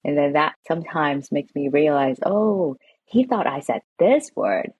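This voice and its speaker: A woman's voice: sits low, lively intonation, a little slow, darkish tone, breathy edge, no echo, dull audio. A woman from San Francisco, a podcast guest, explaining her work in a casual, loose way with plenty of "kinda" and "like". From San Francisco, USA. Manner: explanatory, uses fillers like "kinda" and "like". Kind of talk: casual interview answers. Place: home or office, evening.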